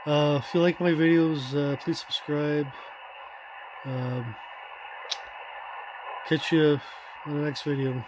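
A man giving a casual, halting hands-on walkthrough as a radio hobbyist, in loose unscripted phrasing. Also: on the high side, little pitch movement. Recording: loud radio static and hiss. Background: shortwave receiver noise.

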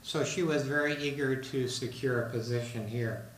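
An older man giving a measured public lecture from a podium, with frequent fillers and restarts. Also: mid-range voice, steady tone. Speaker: guest lecturer. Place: large lecture room with a seated audience. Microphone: podium microphone, recorded by a far camera. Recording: roomy hall with faint noise.

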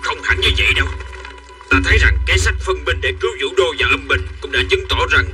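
An actor playing an excitable, loyal officer of an ancient Chinese army, with urgent declamatory delivery in an animated dub. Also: male voice, on the high side, quick pace, far from the mic, soft background music. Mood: boastful. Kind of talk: Vietnamese-dubbed historical animation acting.

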